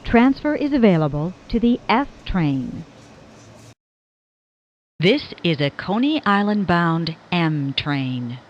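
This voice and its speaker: Lofi subway announcer lady, really slow n formal, clear words, muffled recording. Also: fairly low pitch, sing-song. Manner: measured, clearly enunciated, formal. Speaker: automated subway train announcer. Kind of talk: scripted transit announcement. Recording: dull lo-fi recorded train announcement.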